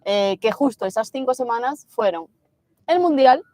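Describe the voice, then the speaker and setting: A woman from Galicia, in her thirties, talks through a travel livestream in a loose, chatty way. Her voice sits a bit high, and she moves easily between reading out viewer greetings and telling the story of the trip. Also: animated, normal speed, mellow, dry recording. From Galicia, Spain. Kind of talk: casual livestream chat.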